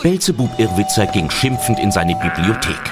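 A man's voice: lowish voice, sing-song, moderate pace, over loud music. A man narrating a children's audio drama as a scripted storyteller.

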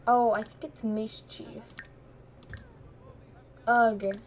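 A woman's voice: a little high, level tone, extremely slow, a little hiss or hum. A young woman who is losing her voice, giving casual, giggly commentary while playing a life-sim game and chatting to the characters. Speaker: gaming streamer. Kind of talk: casual Let's Play commentary.

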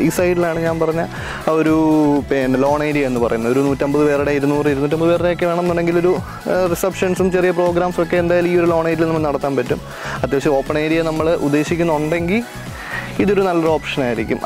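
A man's voice: a little high, quick pace, over music. A man with a Kerala Malayali accent giving a casual, practical venue walkthrough as an event planner and vlog host, mixing in English terms. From Kerala, India. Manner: explanatory, practical, peppers talk with English terms.

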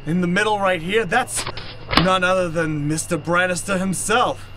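A man's voice: high-pitched, fairly even intonation, normal speed, noisy background, natural voice. A man playing a blustering, eccentric older professor in an improvised radio comedy, theatrical, pompous and scolding. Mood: smug, insulting. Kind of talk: improvised old-time radio comedy acting.

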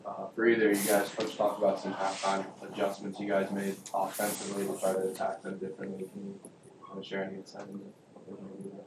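A man's voice: deep voice, monotone, fast. A man working as a reporter, asking a question at a press conference from far from the microphone. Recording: echoey, far from mic, noisy room.

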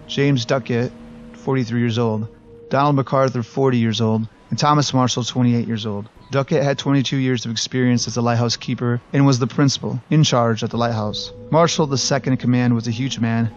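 A man narrating a scripted documentary in a measured, even storytelling manner. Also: medium pitch. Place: voice-over recorded apart from the footage. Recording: slightly dull recording with faint music.

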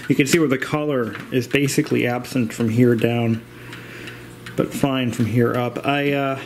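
A man narrating a vintage radio repair in a casual, rambling, halting and plain-spoken way, as a hobbyist vlogger. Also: medium pitch, fairly even intonation. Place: indoors in a home. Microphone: handheld camera, operator behind it. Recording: clear background room noise.

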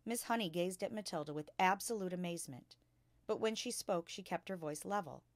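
A woman reading a children's book aloud as a storyteller, in a measured and carefully expressive way. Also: fairly low pitch, dry recording.

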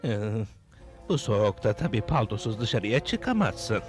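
Wise old cartoon village elder, scoldy but fatherly, lively pitch, faint music. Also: a little slow. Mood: earnest. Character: wise but exasperated elderly leader of tiny cartoon creatures. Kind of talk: children's cartoon dubbing.

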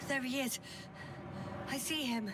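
An actress playing a determined woman scientist astronaut in a video game, speaking naturalistically in a halting, breathy murmur, often as if to herself. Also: high-pitched, very noisy. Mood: urgent, worried.

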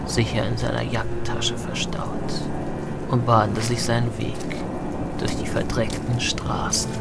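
A man's voice: mid-range voice, vocal fry. A man giving dramatic, scripted narration for an audio drama. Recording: loud music under the voice.

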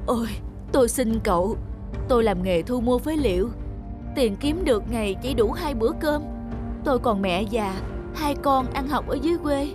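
A woman's voice: fairly high pitch, animated, moderate pace, over music, natural voice. An actress voicing a poor middle-aged woman scrap collector in a cartoon, with a humble, pleading manner. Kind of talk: cartoon voice acting.

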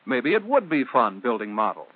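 A man's voice: medium pitch, big pitch swings, normal speed, breathy edge. An American man narrating a 1950s instructional film, speaking in simple, conversational phrases as if directly to a child. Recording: muffled telephone-like old film soundtrack.

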